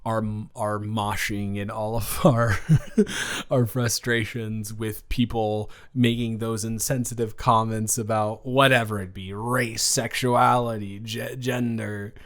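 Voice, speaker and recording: A man's voice: fairly low pitch, flat delivery, fairly bright tone. A young American man playing a chatty, awkward best friend in an improvised audio roleplay, talking casually and often interrupting himself. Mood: upbeat, chatty. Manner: rambling, halting, self-interrupting. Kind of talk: improvised boyfriend-style audio roleplay, casual one-sided chat.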